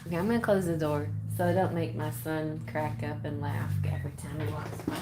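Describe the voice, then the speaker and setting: A woman's voice: sits low, very animated, a little fast, gravelly, very noisy. A woman putting on a Texas accent, chatting casually as she hosts a live tarot reading, playful and rambling as she thinks aloud. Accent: put-on Texas. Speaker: tarot reader hosting a livestream.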